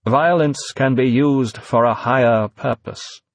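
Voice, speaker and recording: Enigmatic masked man, measured and neutral ; read by a male narrator, husky timbre, dry booth sound. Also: fairly low pitch, expressive, no room sound. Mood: calm. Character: calm masked man, cryptic and unreadable. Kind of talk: audiobook character voice.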